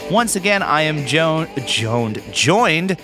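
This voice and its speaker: An American man hosting a podcast, chatting casually and jokily about a movie in an animated way. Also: on the high side, slow, over music. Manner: jokey, animated. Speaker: podcast host. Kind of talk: casual comedic movie chat.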